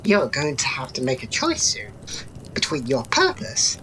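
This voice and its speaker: Mocking female jester voiced by an actress, sharp bright tone, unhurried and swooping, theatrical fantasy audio drama. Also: a bass voice, huge pitch swings, a little hiss or hum, full character voice, rough recording. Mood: urgent, sardonic. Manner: sarcastic, giggly, theatrical. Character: mocking, playful female jester, flippant yet shrewd.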